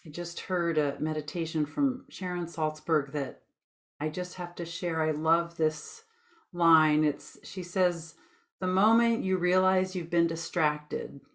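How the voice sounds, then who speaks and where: A woman with a slightly low voice guides listeners through a meditation. She gives gentle, soothing instructions in plain, informal language, and she takes her time between phrases.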